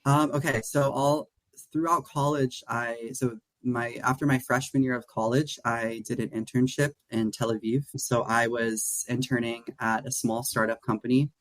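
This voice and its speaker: A man's voice: medium pitch, steady tone, moderate pace, dry recording, poor audio. A young man from Atlanta, talking casually as a guest with lots of restarts and "like" fillers. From Atlanta, USA. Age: young adult. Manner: rambling with frequent restarts and "like" fillers. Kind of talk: casual interview conversation.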